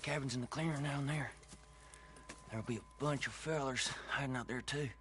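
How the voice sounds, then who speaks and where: An actor playing a nervous young American outlaw held captive, stammering and eager to please, in a video game. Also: male voice, mid-range voice, moderate pace, a little hiss or hum, natural voice. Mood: hushed, wary. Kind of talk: video game drama acting. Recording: loud music and horse hooves behind.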